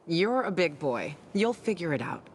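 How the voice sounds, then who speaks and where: A woman's voice: lowish voice, very animated, a little slow, a little hiss or hum. An actress playing a tough, sardonic young woman guiding a newcomer, brash and teasing, in a game cutscene. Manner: brash, teasing, swears freely.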